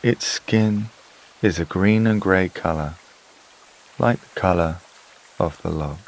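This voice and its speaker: A man narrating a scripted sleep meditation in a calm, soothing, unhurried way, with long pauses between phrases. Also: low voice, poor audio. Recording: water and rain sounds behind voice. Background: flowing stream, rain-like ambience.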